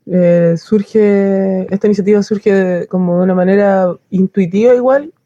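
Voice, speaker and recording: A woman's voice: medium pitch, fairly even intonation, normal speed, mellow, no room sound. A woman with a Chilean accent giving a casual, colloquial account as a community organiser. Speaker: community soup kitchen organiser. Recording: dull lo-fi close recording.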